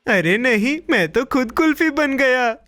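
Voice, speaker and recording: A woman's voice: high-pitched, animated, a little slow, a bit bright. A woman voicing an eager, impatient, food-loving little boy in a children's cartoon dub, with a childlike, plaintive, exclaiming delivery. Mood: fretful.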